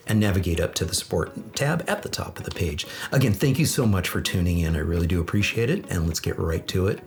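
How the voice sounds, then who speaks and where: A man from Los Angeles hosting a podcast, upbeat and friendly, with some fillers. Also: deep voice. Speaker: podcast host. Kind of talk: interview hosting.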